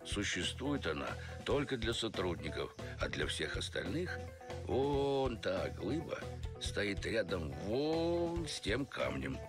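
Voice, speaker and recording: Cartoon talking bear, very low voice, stern boss, terse, dry commands, music behind. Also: male voice, very animated, takes it slowly. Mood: dry, instructing. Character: gruff talking bear, stern courier boss, adult male. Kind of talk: animated noir film acting.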